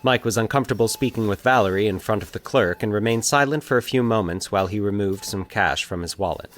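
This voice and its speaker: A man's voice: on the low side, quick pace. A man reading scripted fiction as the narrator of a story.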